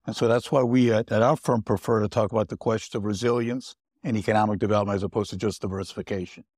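A man's voice: lowish voice, steady tone, fast, a little mellow, dry recording, studio quality. A man speaking as an economist on a panel, explaining points and often restarting his phrases. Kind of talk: panel discussion.

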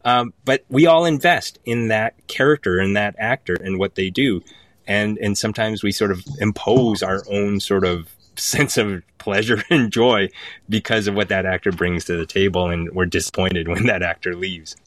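A man with a low voice talks casually as a guest writer on a panel. He is emphatic and repeats phrases to make his point. The sound is very dry, with little room in it. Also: moderate pace, a bit bright, no room sound. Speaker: playwright, podcast guest.

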